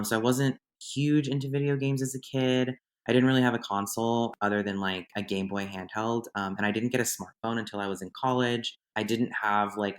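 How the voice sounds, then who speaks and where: A slightly hoarse young man hosting his podcast in a chatty, rambling solo monologue. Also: fairly low pitch, a bit bright. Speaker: podcast host. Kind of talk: casual solo podcast chat.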